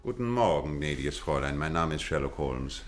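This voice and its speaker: A man's voice: rumbling low, expressive, a little fast, soft background music. A man acting a courteous, observant, self-assured detective in a German radio play, speaking formally and precisely.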